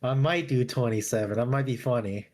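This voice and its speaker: A man with a mid-range voice and lively, swinging intonation talks as a player in a tabletop game. He chats casually and informally, musing aloud as he works through his choices. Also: mid-range voice, a little hiss or hum. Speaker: player creating a character. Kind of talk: casual chat, thinking aloud.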